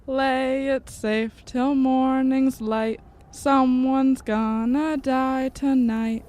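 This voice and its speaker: A woman's voice: high-pitched, fairly even intonation, drawn-out words, darkish tone. A woman playing a stern, insistent young goth occultist in an audio drama, earnest and ominous. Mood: slow, eerie recital.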